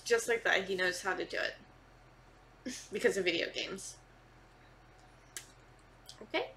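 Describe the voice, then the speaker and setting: A woman's voice: medium pitch, slow pace, creaky, sharp tone, a little hiss or hum. A woman in her thirties giving casual, incredulous, mocking commentary as she reacts to a film. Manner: incredulous, mocking, mild swearing. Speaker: reaction video host. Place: living room couch at home.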